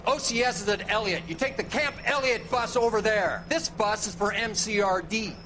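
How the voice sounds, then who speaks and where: A man's voice: sits high, normal speed, noisy background, lightly acted. A man playing an aggressive, sarcastic, foul-mouthed American Marine sergeant in a video game. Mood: scornful. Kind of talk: video game cutscene acting.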